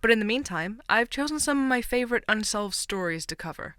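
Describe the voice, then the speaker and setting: A woman's voice: on the high side, animated, brisk, a bit bright, no echo, clean studio sound. A woman playing an eager, playful podcast co-host who loves true crime, bantering with her partner. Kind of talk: fiction podcast drama.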